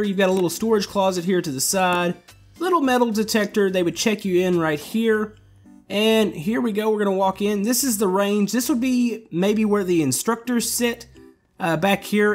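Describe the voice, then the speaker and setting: A man hosting a gameplay video, giving a relaxed, rambling walkthrough full of fillers and restarts as he tours what he built. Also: sits high, moderate pace, soft background music. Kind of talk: casual walkthrough commentary. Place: voice-over while playing a building game.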